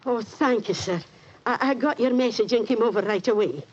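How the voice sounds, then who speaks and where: A woman's voice: fairly high pitch, moderate pace, noisy background, close to an everyday voice. An actress playing a humble, hesitant landlady in a 1940s radio drama, apologetic and deferential in manner. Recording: muffled 1940s radio recording.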